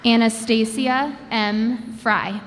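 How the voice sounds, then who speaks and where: Woman, higher voice, slow and measured, announcing names over a PA, long echo, crowd murmur behind. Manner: measured, announcing each name with pauses. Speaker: commencement name reader. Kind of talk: reading graduate names at a ceremony. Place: large indoor gymnasium. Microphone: podium microphone over a PA system. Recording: long hall reverb with crowd noise. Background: crowd ambience.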